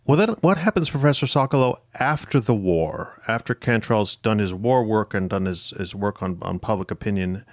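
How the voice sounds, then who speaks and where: A man with a fairly low voice hosts a history podcast. He introduces and questions academic guests, and the sound is dry with very little room. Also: animated, moderate pace, few pauses, no room sound. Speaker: podcast host.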